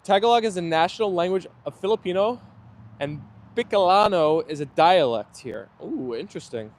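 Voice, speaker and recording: A man's voice: high-pitched, animated, normal speed. Chatty man hosting a live stream. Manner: friendly, chatty, thanks viewers often. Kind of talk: casual live chat reading viewer comments.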